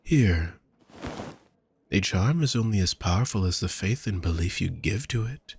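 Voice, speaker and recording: A man playing a doting, courtly vampire shopkeeper in an intimate audio roleplay, with a husky voice and gentle, flowery, formal speech addressed to the listener. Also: fairly low pitch.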